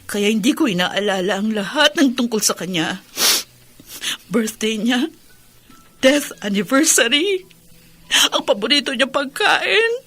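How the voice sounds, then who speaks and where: Crying widowed mom for radio drama, anguished, slow bright voice. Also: female voice, a little high, animated, exaggerated voice. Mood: tearful longing. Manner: tearful, drawn-out, anguished delivery. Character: grieving widowed mother of three, anguished. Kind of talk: melodramatic Tagalog radio drama acting. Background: music.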